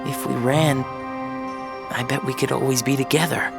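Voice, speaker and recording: A man's voice: medium pitch, fairly even intonation, normal speed, creaky, over loud music, natural voice. An actor playing an earnest, vulnerable teenage boy in a video game, youthful and sincere. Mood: wistful. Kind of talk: video game cutscene voice acting.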